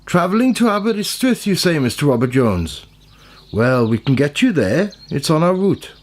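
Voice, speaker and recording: An actor playing a blunt, chatty, grumbling road worker in a radio play, with colloquial speech. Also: male voice, fairly high pitch, very animated, moderate pace. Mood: friendly, chatty. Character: matter-of-fact, grumbling working man gritting roads.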